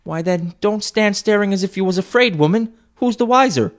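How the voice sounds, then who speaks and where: A man reading a story aloud, voicing a bold, scornful charwoman in a shrill falsetto. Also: moderate pace, natural voice.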